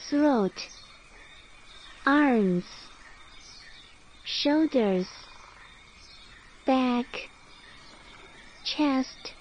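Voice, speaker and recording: A woman leads a guided sleep meditation at an extremely slow pace, leaving long pauses between short phrases. Her voice sits fairly high. The recording is slightly muffled, with crickets, birds and some noise behind her.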